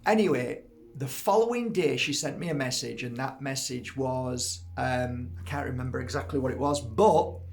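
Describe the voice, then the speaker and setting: A man's voice: mid-range voice, moderate pace, vocal fry. A man telling stories in a casual vlog, rambling with lots of fillers and restarts as he gets more and more enthusiastic. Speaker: vlogger. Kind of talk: casual testimonial monologue.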